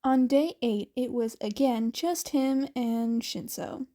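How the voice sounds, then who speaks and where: A woman's voice: fairly high pitch, slow pace, dark tone. A woman reading a story aloud as scripted, audiobook-style narration. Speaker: audiobook-style fan fiction reader.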